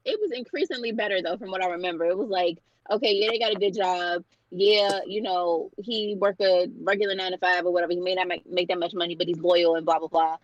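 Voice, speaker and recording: A woman's voice: fairly low pitch, steady tone, fast talker, hardly any pauses. Woman retelling a story on a dull mic. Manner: loose retelling, lots of "blah, blah". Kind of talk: casual chat.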